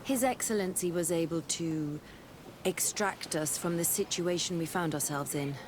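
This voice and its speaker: Measured scholar companion for fantasy game, played by a woman: lowish female voice, frank, persuasive, faint rumble behind. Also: lightly acted. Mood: calm, persuasive. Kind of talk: video game cutscene acting.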